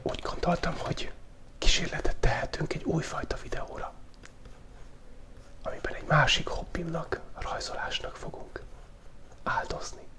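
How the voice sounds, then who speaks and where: A man hosting a relaxed ASMR drawing video, whispering in a loose, playful way and thinking aloud as he sketches, close to the microphone. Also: a little high, long pauses. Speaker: channel host. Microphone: close studio microphone picking up pen tablet.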